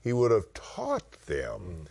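A man from West Texas with a West Texas accent, teaching the Bible in a conversational preaching style with drawn-out, emphatic phrasing. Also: lowish voice, huge pitch swings, gravelly, darkish tone, husky.

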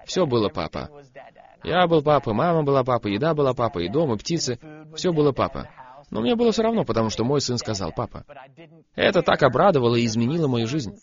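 A man preaches with a lively voice that rises and falls widely as he tells stories and drives his points home. He repeats key phrases for emphasis. The sound is dull and lo-fi. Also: medium pitch, fast talker. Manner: animated storytelling, emphatic repetition. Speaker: preacher, guest on a program. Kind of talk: sermon.

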